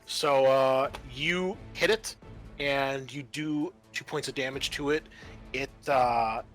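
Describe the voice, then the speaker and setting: A man narrating a tabletop roleplaying game as game master, speaking haltingly with pauses mid-sentence. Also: mid-range voice, steady tone, fairly bright tone. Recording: music behind the voice. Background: background music.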